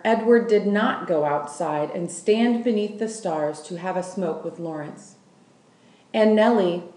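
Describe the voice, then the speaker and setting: A woman narrates a children's book with lively, swooping intonation at an unhurried pace. The room around her is noticeably echoey. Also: on the low side, recorded from a distance. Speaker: school staff member reading aloud. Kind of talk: scripted storybook narration, read aloud.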